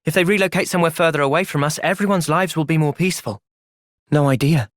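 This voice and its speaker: An actor voicing an earnest, thoughtful young male soldier and leader in a video game, with an English accent and a measured delivery. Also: on the high side, no echo, clean studio sound, natural voice. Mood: reflective. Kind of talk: video game cutscene acting.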